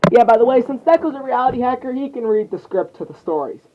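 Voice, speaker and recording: A woman's voice: a little high, animated, quick pace. A woman improvising a story in dialogue, with slangy, profane, stumbling delivery, as a casual creator. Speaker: fan fiction storyteller and channel creator. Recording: noisy muffled lo-fi recording with clicks.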